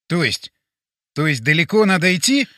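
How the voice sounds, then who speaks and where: A man's voice: on the high side, sing-song, normal speed, sharp tone, dry recording, clean studio sound. A man voicing a reluctant, wary male hero in an audiobook, exasperated and full of questions.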